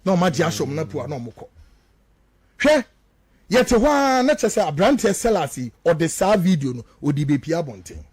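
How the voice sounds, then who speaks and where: A man's voice: a little high, big pitch swings, fairly quick, a little mellow, breathy edge. A Ghanaian man giving emphatic, repetitive political commentary as he switches between two languages, full of rhetorical turns.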